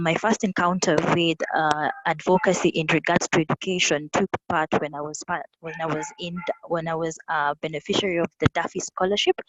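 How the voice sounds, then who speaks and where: A young adult woman from South Sudan with an East African accent, giving a webinar presentation in a halting way with repeated words. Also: fairly low pitch. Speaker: youth advocate presenting. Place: online call from a windy spot. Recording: windy, noisy online call with clicks. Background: wind noise.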